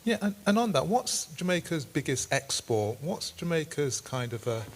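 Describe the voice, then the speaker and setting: A reverend chairing a community Q&A in a brisk, informal way, calling on speakers and adding questions. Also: male voice, a little high, sing-song. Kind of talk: moderating a Q&A.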